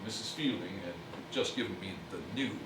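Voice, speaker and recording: An actor playing a gruff, proud toy merchant turning soft-hearted, speaking formally and haltingly in a stage musical. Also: male voice, lowish voice, very noisy, natural voice. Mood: sheepish, halting. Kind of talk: theatrical stage musical acting. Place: theatre stage, distant microphone. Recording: echoey stage recording from far off, music behind.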